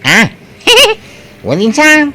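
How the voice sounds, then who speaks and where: A performer acting an evasive, bashful young man in an Ilocano comedy drama. The voice is forceful and raspy, with extremely animated pitch and an Ilocano accent. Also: extremely slow, exaggerated voice.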